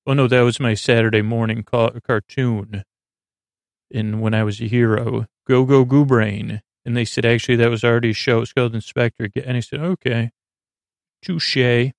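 A man hosting a sleep podcast with a meandering, halting bedtime monologue, often trailing off and repeating himself. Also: medium pitch, steady tone, no room sound. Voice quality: creaky.

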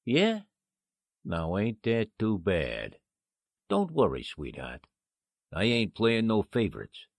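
Sneering gangster henchman, wildly varied pitch. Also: wildly swooping pitch, takes it slowly, vocal fry, pauses often, no echo, clean studio sound, full character voice. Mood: sneering, menacing. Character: sneering tough gangster henchman with slangy speech. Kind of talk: 1940s radio drama acting.

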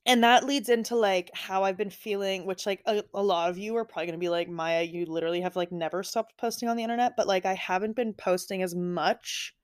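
A woman's voice: mid-range voice, fairly even intonation, a little fast, hardly any pauses. A young woman hosting a podcast, chatting casually and rambling with lots of "like" fillers. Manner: rambling, heavy use of "like". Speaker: podcast host. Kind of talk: casual personal chat.

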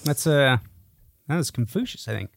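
A man chatting casually as a podcast co-host. His pitch is lively and swings widely, and he jokes in a crude, irreverent way. The sound is dry, with little room. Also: moderate pace, creaky, dry recording. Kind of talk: casual comedic chat.

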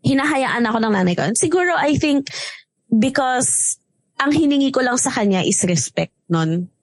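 A woman with a Filipino accent and a loud, strong voice, chatting casually and mixing Tagalog with English, with many fillers. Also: high voice, lively intonation, normal speed, no echo. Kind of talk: casual chat.

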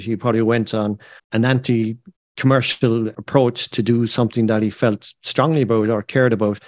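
A man's voice: medium pitch, a little mellow, no room sound. Male podcast host, dry sound. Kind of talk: conversational discussion.

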